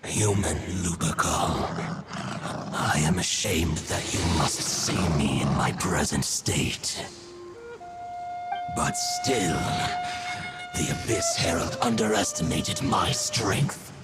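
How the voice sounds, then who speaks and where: An actor playing a proud, solemn wolf god spirit in a video game, speaking in a stately, archaic way. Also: male voice, low-pitched, wildly swooping pitch, slow pace, over loud music, exaggerated voice. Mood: weakened, proud. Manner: stately, formal archaic speech.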